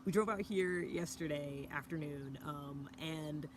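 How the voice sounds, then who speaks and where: Soft-spoken woman vlogging outdoors. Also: deep voice, unhurried. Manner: soft, hushed early-morning talk. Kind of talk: casual reflective talk to camera. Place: outdoors by a fire pit with a mountain view. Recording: outdoor ambience with background noise.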